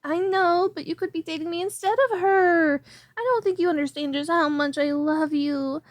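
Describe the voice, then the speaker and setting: A woman's voice: very high-pitched, fairly even intonation, normal speed, no room sound. A woman voicing a young alchemist who chatters as she works and turns obsessive, in a scripted audio roleplay. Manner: chatty, rambling, then clingy and obsessive. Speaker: ASMR roleplay performer.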